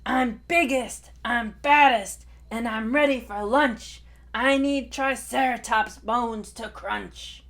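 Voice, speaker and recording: A woman voicing a blustering, bossy male Tyrannosaurus big brother in a storybook, with loud, growling roars. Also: fairly high pitch, slow pace.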